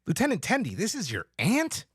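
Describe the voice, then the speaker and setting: Man playing a wildly expressive, glib starship officer. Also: high-pitched, normal speed, slightly rough, dry recording, clean studio sound, exaggerated voice. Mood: incredulous. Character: cocky, bewildered beefcake first officer, a man.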